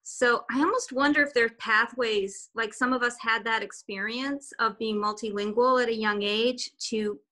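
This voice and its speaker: A woman's voice: fairly high pitch, fairly even intonation, moderate pace, hardly any pauses, bright, forceful tone. A woman whose first language is German and Swiss German, chatting casually as a guest on a video call, rambling a little with restarts. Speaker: guest artist.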